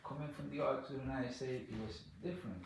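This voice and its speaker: A man from the Dominican Republic with a Dominican accent, answering interview questions in halting casual speech full of "like" and "you know". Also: medium pitch, vocal fry, roomy sound, background noise. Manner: halting, repeats words, many "like" and "you know".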